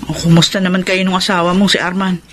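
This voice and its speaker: A woman's voice: fairly low pitch, level tone, fast talker, a bit bright, slight background noise, natural voice. An actress playing a nosy but concerned older female confidante in a Filipino radio drama, blunt and emphatic. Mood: nosy, teasing.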